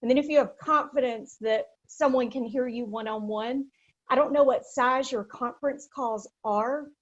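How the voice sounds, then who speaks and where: Woman, panelist, high voice, thoughtful, dull muffled call audio. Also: normal speed. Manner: modest, thoughtful, practical. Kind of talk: casual chat on a video call. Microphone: video call.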